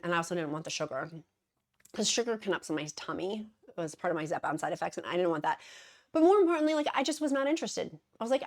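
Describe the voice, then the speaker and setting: A woman chatting casually to her viewers as a weight-loss vlogger, bubbly and gushing, with rambling side notes and self-deprecating jokes. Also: medium pitch. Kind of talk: casual chatty vlog to camera.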